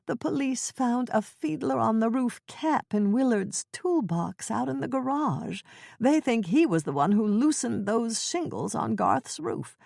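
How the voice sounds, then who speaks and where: A woman's voice: a little high, animated, measured pace, creaky, darkish tone, no room sound, clean studio sound, voice pushed up, heavily acted. A woman voicing a fretful, naive woman in her seventies who talks in anxious, flustered bursts in a storybook reading. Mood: dismayed. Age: 70s.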